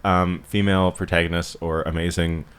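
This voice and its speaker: A man's voice: low voice, flat delivery, moderate pace, a bit bright, smooth. A man co-hosting a podcast, chatting casually about books with dry, playful humor. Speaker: podcast co-host. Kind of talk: casual book chat between friends.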